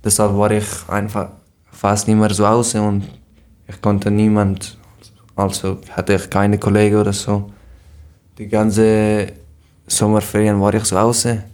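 A seventeen-year-old young man from Afghanistan, a podcast guest, speaking haltingly with frequent fillers. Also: fairly low pitch, monotone, darkish tone. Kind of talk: casual podcast interview.

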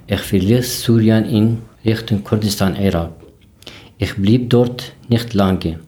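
30s guy from syria, low mellow voice, careful and deliberate. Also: steady tone. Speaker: guest. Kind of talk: casual podcast interview.

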